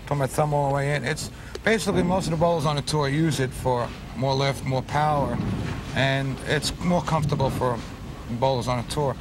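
A man's voice: mid-range voice, fairly even intonation, normal speed, very noisy. A man in his thirties, a pro bowler, plainly explaining his own grip and shoes in an instructional video at a bowling alley, recorded on a lapel mic. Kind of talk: casual explanation.

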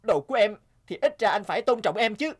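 A man's voice: high-pitched, lively intonation, brisk. A man voicing an upright, studious younger brother in a cartoon, earnest and indignant as he reproaches. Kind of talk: animated moral tale dialogue.

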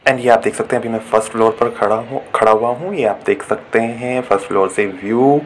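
A man's voice: mid-range voice, steady tone, brisk, faint music behind. A young man from India casually narrating a vlog as he walks through a shopping mall, talking to a phone camera. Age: young adult. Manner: chatty tour-guide talk mixing in English words. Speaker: vlogger. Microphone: phone camera held at arm's length.

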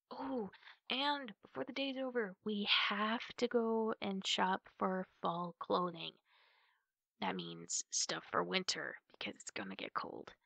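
A playful, affectionate big sister in an ASMR roleplay, acted by a woman. Her voice has a clear creak at a middling pitch, and she chats teasingly, stretching words and dropping into hushed asides. The sound is dry, with no room echo. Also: lively intonation, slow pace, pauses often, full character voice. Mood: eager, planning. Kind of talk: ASMR roleplay, spoken to the listener.

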